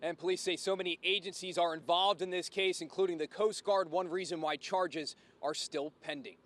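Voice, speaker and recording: A man's voice: high voice, fairly even intonation, moderate pace, a bit bright. A man reporting live for TV news as a field reporter, outdoors in a city.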